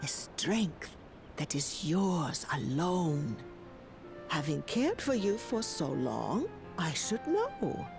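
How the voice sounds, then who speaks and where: A woman's voice: deep voice, sing-song, takes it slowly, creaky, music in the background, heavily acted. A woman voicing a devoted elderly nursemaid in a fantasy video game, motherly, formal and tender. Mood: tender, reassuring.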